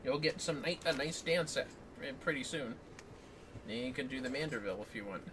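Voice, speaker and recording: Man, casual game streamer, lively swooping pitch, playful read-alouds, distant mic, music in background. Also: a little fast, noisy background. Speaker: livestream co-host. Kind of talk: casual livestream chat with dramatic read-aloud of game text. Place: home office.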